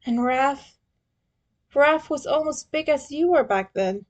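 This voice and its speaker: A woman voicing a wounded young male turtle in a story, teasing and sentimental, with an emotional, halting delivery. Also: high-pitched, animated, pitched above the performer's own voice. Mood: wistful.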